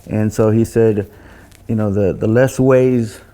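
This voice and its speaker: An older man who designs loudspeakers, talking casually about technical matters and often repeating words as he recounts things. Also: fairly low pitch, fairly even intonation. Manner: halting, repeats words, anecdotal.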